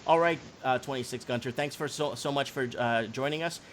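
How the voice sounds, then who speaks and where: A man's voice: medium pitch, brisk, slight background noise. A man hosting a casual livestream, talking in an informal, slangy, rambling way as he chats and reads comments and news aloud. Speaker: live show host.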